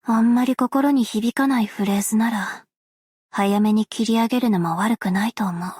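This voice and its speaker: An actress playing a gentle, self-effacing young woman in a video game, soft and whispery, with a hushed, measured, wistful delivery. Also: a little high, breathy edge, dry recording, clean studio sound. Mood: composed, soft. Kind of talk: game character voice lines.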